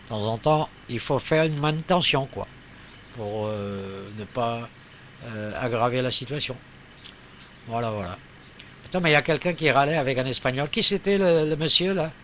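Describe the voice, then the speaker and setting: Bright-voiced man rambling, noisy recording. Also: medium pitch, moderate pace. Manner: rambling, searching for words. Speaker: amateur radio operator. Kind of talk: casual radio chat.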